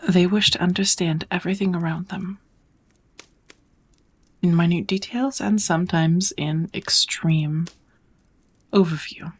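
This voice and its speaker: A woman plays a kind witch mentoring an apprentice in an ASMR roleplay. Her voice is fairly low and very breathy, often dropping into a whisper. She teaches with gentle, measured patience and steady encouragement. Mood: gentle, instructive. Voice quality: soft, breathy. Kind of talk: ASMR roleplay, second-person scripted teaching.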